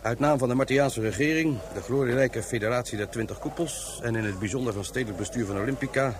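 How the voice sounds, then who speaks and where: An actor playing a courteous government official giving formal, ceremonious welcomes in a radio drama. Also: male voice, mid-range voice, quick pace, noisy background, natural voice.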